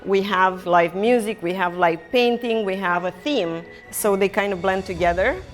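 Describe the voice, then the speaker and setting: A woman's voice: mid-range voice, moderate pace, faint music behind. A middle-aged woman from Romania, an artist telling her life story in a reflective, plain-spoken way. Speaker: artist and gallery owner. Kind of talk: personal storytelling in a documentary interview.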